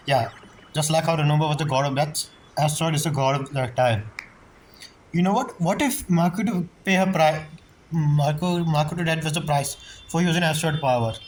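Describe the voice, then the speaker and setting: A woman's voice: sits low, moderate pace, darkish tone, slight background noise, poor audio. A woman giving casual reaction commentary as a gamer, musing aloud and repeating phrases. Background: game music.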